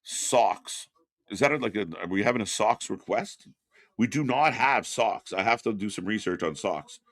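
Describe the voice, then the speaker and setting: A man's voice: fairly low pitch, lively intonation, moderate pace, fairly bright tone, dry recording, clean studio sound. A man co-hosting a live wrestling watch-along stream, wisecracking, laughing often and swearing. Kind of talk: casual live-stream commentary.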